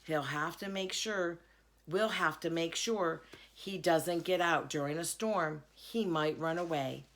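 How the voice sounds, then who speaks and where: A woman voicing a bewildered preacher father with a deliberate, explanatory way of talking in a storybook. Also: deep voice. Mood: calm, explaining. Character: bewildered, measured preacher father of a young girl.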